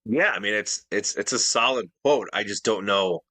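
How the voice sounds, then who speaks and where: A man's voice: lowish voice, normal speed, sharp tone, dry recording. A man co-hosting a casual podcast who defends his list with rambling, restarting explanations. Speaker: podcast co-host. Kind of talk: casual debate chat.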